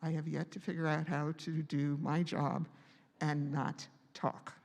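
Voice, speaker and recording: A man hosting a church meeting with a strained voice from a vocal cord node, talking haltingly with many pauses and fillers. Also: fairly high pitch, level tone, creaky, a little mellow. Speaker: meeting host. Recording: long hall reverb.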